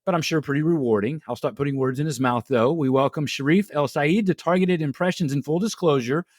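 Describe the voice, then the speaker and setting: A man from Texas hosting a casual interview podcast, talking with lively emphasis and often repeating words as he starts a sentence. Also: on the high side, brisk, no room sound, clean studio sound. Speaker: podcast host.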